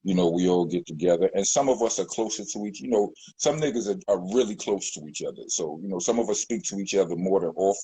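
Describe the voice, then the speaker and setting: Deep-voiced man, low and husky. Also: quick pace, hardly any pauses, mellow, dry recording, poor audio. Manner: rambling, many fillers and restarts. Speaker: rapper, guest. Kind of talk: casual interview talk.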